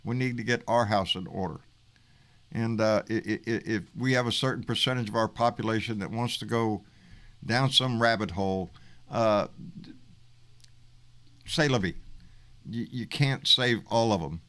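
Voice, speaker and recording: Brief: male host, loose folksy talk, a bit deep. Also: moderate pace, pauses often, fairly bright tone. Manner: folksy, rambling, repeats words. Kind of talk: casual live-stream sign-off.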